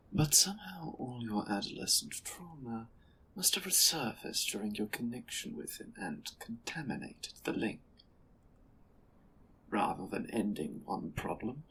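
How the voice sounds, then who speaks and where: An actor plays a lofty sci-fi superior, wry and condescending, with a theatrical, highly varied delivery. The speech is measured and knowing, as if humoring a subordinate in an audio drama, over clearly audible background noise. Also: a bit bright, low-quality recording. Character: The Eminence, amused, condescending superior of a sci-fi order. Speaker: guest voice actor. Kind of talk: audio roleplay acting.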